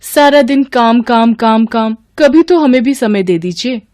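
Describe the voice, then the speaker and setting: A woman's voice: a little high, lively intonation, a little slow, darkish tone, pitched above the performer's own voice. A woman voicing a young bride and daughter, sheepish and whiny, with a pleading, innocent manner. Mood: complaining.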